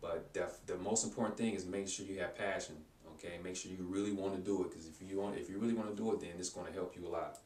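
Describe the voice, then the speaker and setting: Low-voiced guy rambling about study books, lots of restarts, sitting back from the mic in a roomy echoey space. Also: fairly even intonation, quick pace, hardly any pauses, a little mellow, distant mic, a little hiss or hum. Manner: rambling, many restarts and repeated words; informal, advising viewers directly. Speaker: language-learning vlogger. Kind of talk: casual talk to camera, showing books.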